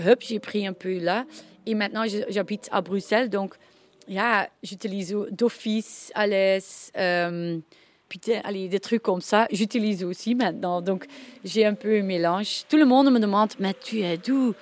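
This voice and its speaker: A woman's voice: mid-range voice, measured pace. A woman with a Ghent Flemish accent, chatting playfully and switching between languages in an interview. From Flanders, Belgium. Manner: lively and playful, laughing.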